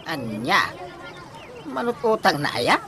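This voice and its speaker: Swooping, bright-voiced Ilocano comedy nag. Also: female voice, low voice, big pitch swings, slow, slight background noise, exaggerated voice. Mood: surprised. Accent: Filipino Ilocano. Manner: exclamatory, swooping. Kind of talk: comedy radio drama acting.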